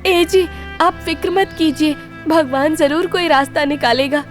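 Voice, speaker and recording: A woman voicing a gentle, devout village wife in a cartoon moral story, earnest and expressive. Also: high-pitched, a little slow, soft background music, voice pushed up. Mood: reassuring.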